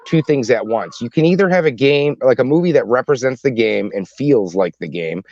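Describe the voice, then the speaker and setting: A man's voice: medium pitch, expressive, normal speed, a little mellow, dry recording, lo-fi sound. A man co-hosting a podcast in casual panel discussion, often restarting his sentences as he goes. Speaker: podcast co-host.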